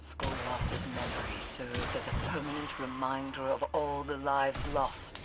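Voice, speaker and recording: An actress playing a grim, haunted mystic warrior in a video game, speaking solemnly and formally. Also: female voice, very deep voice, little pitch movement, very noisy. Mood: ominous, mournful. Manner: solemn, ominous, deliberate, formal phrasing. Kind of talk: dramatic video game acting.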